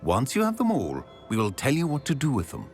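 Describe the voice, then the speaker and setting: A courtly, secretive old wizard professor talks in grave, deliberate sentences. His mid-pitched voice swoops widely, with soft music behind it. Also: huge pitch swings. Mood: courteous. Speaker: game character. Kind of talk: game cutscene acting.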